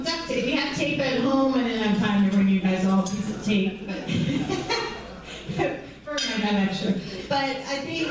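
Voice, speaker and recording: A woman in her forties with a high voice, a counselor giving a conversational presentation to a patient group, peppered with um and right. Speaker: mental health counselor. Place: large echoey event room. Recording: echoey hall, distant mic, faint noise.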